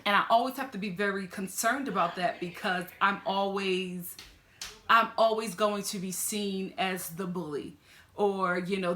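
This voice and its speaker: Young female reviewer, sassy and animated, bright tone in a reverberant room. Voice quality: fairly deep voice. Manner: animated, sassy, talks straight to the people on screen. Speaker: reality TV reviewer. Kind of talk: casual reaction commentary.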